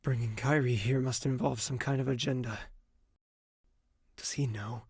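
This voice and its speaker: An actor playing a wounded young crown prince in an audio drama, proud, bitter and pleading, with formal, articulate speech. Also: male voice, mid-range voice, steady tone, moderate pace, a little mellow, slightly rough, no room sound, studio quality. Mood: tense, resentful. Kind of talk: audio drama with inner monologue.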